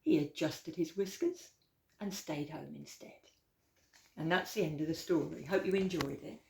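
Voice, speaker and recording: A woman's voice: deep voice, normal speed, gravelly. Woman reading a storybook in an echoey room. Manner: expressive, dramatic storytelling with emphasis on key words. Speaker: library storytime reader. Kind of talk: read-aloud of a rhyming children's picture book. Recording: roomy, echoey indoor sound.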